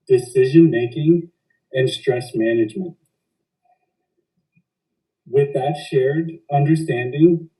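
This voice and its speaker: A man hosting a podcast, giving a formal, measured introduction as a coach. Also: medium pitch, warm tone, recorded from a distance, poor audio.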